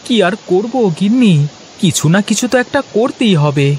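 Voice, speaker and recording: A man playing a humble, hard-up village potter in a moral-story cartoon, with a folksy, lamenting and expressive way of talking. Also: sits high, moderate pace, a little hiss or hum. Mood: pleading.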